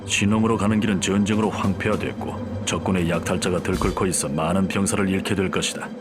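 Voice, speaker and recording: A man's voice: deep voice, fairly even intonation, music in the background, natural voice. A man playing a weary, grave French soldier narrating his war diary in a video game cutscene. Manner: grave, measured storytelling. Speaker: campaign narrator.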